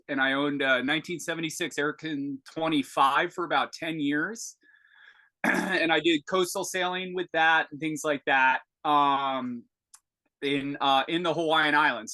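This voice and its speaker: A man in his forties with a bright, fairly high voice, telling his story over a video call. He stops and restarts often, filling gaps with ums and uhs. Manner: halting, many fillers and restarts. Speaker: podcast guest. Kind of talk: casual video-call interview.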